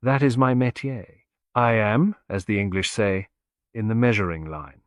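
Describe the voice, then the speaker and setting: Guy putting on a refined foreign detective for a mystery audiobook, gentle, exact, very deliberate, dry sound. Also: lowish voice, dry recording, natural voice. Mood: grave, precise.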